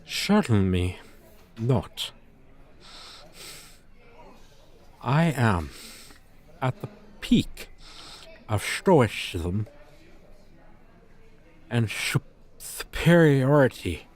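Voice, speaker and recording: A man's voice: medium pitch, sing-song, drawn-out words, pauses often. A man voicing a proud, scornful dragon teacher in a roleplay, speaking in pompous, stilted, formal phrases. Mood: stubborn, proud. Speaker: roleplay voice performer. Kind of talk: ASMR roleplay monologue, theatrical.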